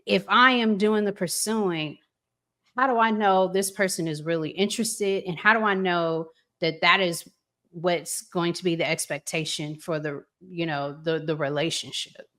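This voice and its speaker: A woman with an American accent talking reflectively as a podcast co-host, deliberately and with pauses between phrases. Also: on the low side, fairly even intonation, fairly bright tone. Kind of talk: reflective conversational discussion.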